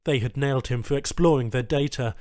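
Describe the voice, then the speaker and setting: A man's voice: mid-range voice, a little fast, darkish tone, no echo, studio quality. A man reading a science fiction short story as scripted audiobook narration.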